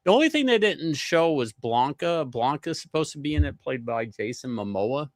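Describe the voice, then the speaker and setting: A man with a lively, swooping delivery and a close, dry sound, doing reaction commentary as a co-host. He talks casually in slang and swears freely. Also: fairly high pitch, moderate pace, no echo. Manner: profane, slangy. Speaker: livestream co-host.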